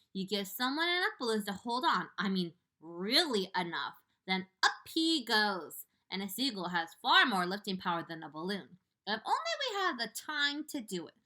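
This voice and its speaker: Young boy hero, firm and confident, lively storybook voice, read by a woman. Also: medium pitch.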